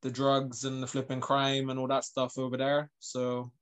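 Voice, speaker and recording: A man's voice: mid-range voice, monotone, moderate pace, clear, resonant tone. A man in his mid-thirties, from England and Northern Ireland, talking as a guest in a casual interview. His voice stays level, with little rise or fall, and his speech is loose and full of fillers. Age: 30s. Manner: loose, filler-heavy, lots of "like" and "you know". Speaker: guest, MMA fighter.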